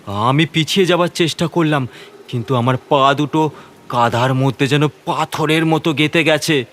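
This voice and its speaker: A man's voice: fairly high pitch, moderate pace, fairly bright tone, slight background noise. A man narrating a scripted horror story in the first person, with a suspenseful, theatrical delivery.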